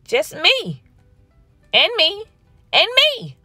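A woman doing squawky high crows. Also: huge pitch swings, extremely slow, pushed and bright, no echo, soft background music, full character voice. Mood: chirpy.

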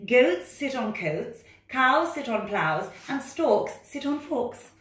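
Woman putting on a bossy, high-pitched, emphatic storybook cat. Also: very animated, a bit bright, slight background noise, exaggerated voice. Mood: insistent. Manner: emphatic, singsong, drawn-out rhyming pronouncements. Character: bossy, impatient know-it-all cat in a picture book. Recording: roomy, distant microphone.

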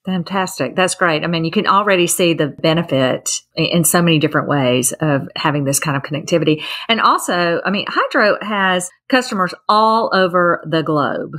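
A woman's voice: fairly low pitch, normal speed, few pauses, a bit bright. A woman hosting a podcast interview in a conversational, affirming and enthusiastic manner. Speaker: podcast host.

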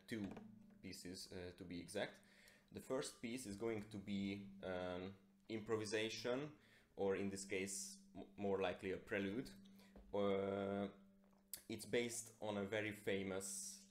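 A young man from Hungary with a Hungarian accent, a guitarist and composer introducing a concert in hesitant, halting phrases. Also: on the low side, a little hiss or hum. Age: young adult. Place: plain indoor room. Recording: echoey small room.

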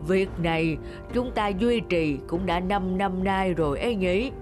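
A woman voicing a talking forest animal in an animated fairy tale, explaining things in a measured storytelling way. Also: lowish voice, lightly acted. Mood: authoritative. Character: talking forest animals sharing secrets at a meeting. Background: music.

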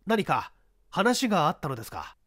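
A man playing an inquisitive male police detective, asking brisk, businesslike questions in a mystery story reading. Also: high voice, big pitch swings, fairly bright tone, voice pushed down, heavily acted. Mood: probing.

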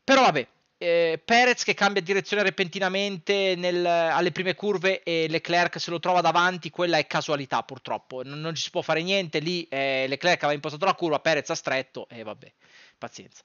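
A man's voice: fairly high pitch, moderate pace, fairly bright tone, smooth, no echo, muffled recording. A man delivers heated, exasperated, foul-mouthed commentary to camera, hammering home points by repeating phrases. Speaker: sports content creator. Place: small dim room at home.

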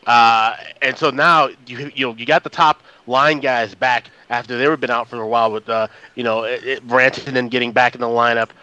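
A man of thirty with a headset, giving a steady analytical breakdown in a casual sports betting chat. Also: medium pitch, rough recording. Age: 30s. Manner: brisk, analytical. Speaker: guest handicapper.

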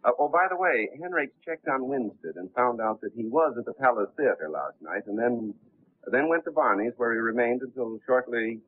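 An actor playing a suave, unflappable gentleman impostor in a 1930s film, speaking politely and smoothly. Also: mid-range voice, lively intonation, moderate pace, dry recording. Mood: affable, persuasive. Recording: muffled, tinny 1930s film soundtrack.

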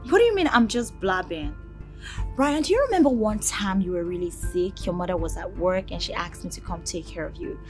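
A woman's voice: on the low side, lively intonation, brisk, darkish tone, soft background music, close to an everyday voice. A woman acting as a possessive, brazen young friend in a screen drama.

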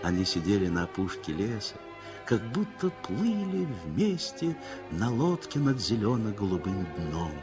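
A man narrating a 1960s radio play in a measured storytelling manner. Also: on the low side, big pitch swings, over music.

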